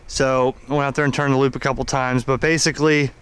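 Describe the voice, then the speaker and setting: A man giving an unscripted hobbyist review and demonstration of radio gear in a halting, conversational, explanatory way. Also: mid-range voice, level tone, fairly bright tone, clean tone.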